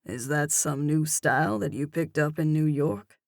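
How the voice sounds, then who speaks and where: A woman narrator drops her voice for a cocky young man with a teasing, taunting edge. His lines come a little lower and slower than her narration, relaxed and smug. The sound is dry and close. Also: creaky, dry recording.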